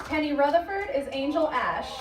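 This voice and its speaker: A woman announcer with a high voice reads credits live on stage. She is distant and echoey, with a loud crowd all around. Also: expressive, moderate pace, heavy background noise. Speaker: show announcer. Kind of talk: reading credits to a live audience.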